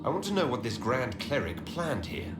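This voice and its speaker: A commanding yet merciful fantasy-game leader, played by a man, direct and wry. The voice moves animatedly in pitch, in an echoey space with loud music. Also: big pitch swings, moderate pace, natural voice. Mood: puzzled, firm. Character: commanding but merciful male leader of a holy order. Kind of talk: dramatic fantasy game acting. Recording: roomy echo, loud background music.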